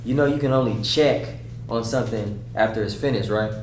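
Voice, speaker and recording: Sound is roomy and a bit distant, with faint noise. A man plays a sullen, defensive young adult son at a script read, muttering his answers in a medium-pitched voice. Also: brisk, natural voice. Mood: insistent. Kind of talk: screenplay table read. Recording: echoey room, distant mic, faint hum.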